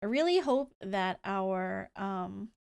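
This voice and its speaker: A woman's voice: mid-range voice, lively intonation, slow pace, vocal fry, darkish tone, clear, resonant tone. A woman live-streaming a game, chatting casually in a playful, rambling manner. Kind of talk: casual livestream chat, reading game dialogue aloud.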